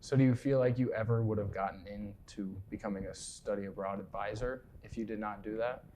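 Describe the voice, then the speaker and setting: A man's voice: lowish voice, fairly even intonation, a little fast, dark tone, a little hiss or hum. A man hosting a morning show, interviewing a guest in a friendly, easygoing way. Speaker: TV show host.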